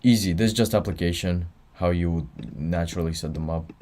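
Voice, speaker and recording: A man's voice: low voice, fairly quick, mellow, a little hiss or hum. A tired young man running a livestreamed exam review, talking offhand and answering chat between explanations. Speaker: student tutor.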